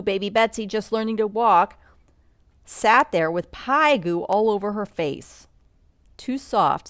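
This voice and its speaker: A woman reading a children's bedtime story aloud in an expressive read-along style, sometimes commenting as she goes. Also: fairly low pitch, no echo, clean studio sound. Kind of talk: bedtime story read-along narration with asides about pictures.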